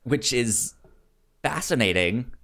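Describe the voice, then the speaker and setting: A man hosting a podcast, chatting casually about a film in a halting, stammering way that often repeats words. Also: medium pitch, lively intonation, creaky, fairly bright tone. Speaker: podcast host.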